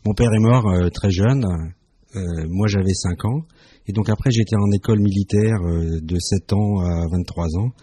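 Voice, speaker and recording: A man in his fifties from France, with a very low, husky voice and a mellow, dark tone. He talks hesitantly and thoughtfully on a live radio show, often pausing on fillers. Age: 50s. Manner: hesitant, many fillers, reflective. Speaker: radio guest.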